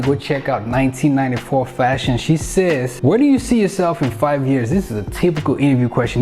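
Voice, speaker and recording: A man's voice: medium pitch, darkish tone. A young man from Cameroon answering viewer questions in a casual vlog, upbeat and repeating phrases for emphasis. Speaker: YouTube channel host.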